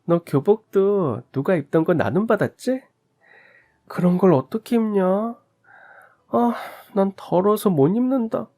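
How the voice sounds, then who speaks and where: A man's voice: high-pitched, animated, a little slow, warm tone, voice pushed up. A man voicing a spiteful teenage schoolgirl bully who taunts a poorer classmate in a sneering tone.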